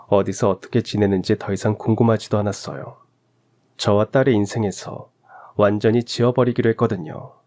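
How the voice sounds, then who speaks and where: A man's voice: on the low side, warm tone. A man reading a scripted first-person story as the narrator of a radio drama. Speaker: narrator of audio stories.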